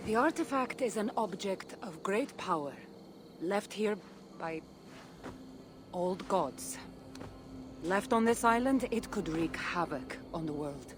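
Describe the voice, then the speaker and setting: An actress playing a guarded, mysterious wandering woman in a video game, speaking in measured, terse, secretive lines. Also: mid-range voice, expressive, noisy background. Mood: guarded, serious. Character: guarded mysterious female wanderer seeking a powerful artifact. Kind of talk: dramatic video game dialogue.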